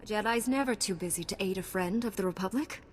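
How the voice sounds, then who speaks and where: An actress playing a righteous young warrior knight in a video game, composed, firm and formal. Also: female voice, medium pitch, quick pace, slight background noise, close to an everyday voice. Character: resolute, righteous young female Jedi knight. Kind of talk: scripted video game cutscene acting.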